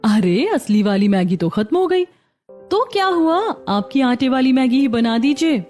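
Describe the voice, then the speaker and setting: A woman's voice: high voice, lively intonation, unhurried, darkish tone, soft background music. A woman playing a cheerful, coaxing young sister-in-law in a cartoon family story. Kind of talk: Hindi cartoon story acting.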